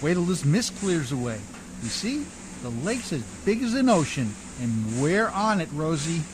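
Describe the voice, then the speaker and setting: A man's voice: on the high side, very animated, moderate pace, background noise. A man playing a scrappy, good-hearted riverboat captain in an old-time radio drama, with folksy, ungrammatical working-man speech. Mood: eager, cheerful.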